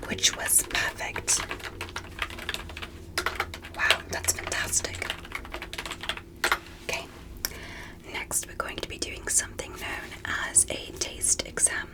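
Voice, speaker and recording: Woman whispering as a gentle alien doctor, very slow. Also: lightly acted, rough recording. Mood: gentle, encouraging. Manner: slow, soothing, formally polite, encouraging. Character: gentle, curious female alien doctor examining a human. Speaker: ASMR performer in a medical roleplay. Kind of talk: soft whispered ASMR roleplay.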